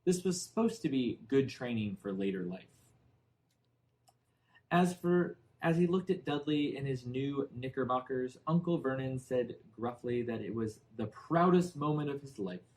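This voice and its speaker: A man reading a children's novel aloud in expressive, deliberately phrased storybook narration. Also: medium pitch, low-quality recording. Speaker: audiobook narrator.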